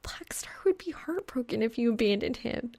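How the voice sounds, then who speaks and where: A narrator plays a mortified young woman gamer in an audiobook. Her voice is thin and often pushed higher, with some gravel in it. She is dramatic, swinging between monotone and whimper. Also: moderate pace, in a whisper. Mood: rueful.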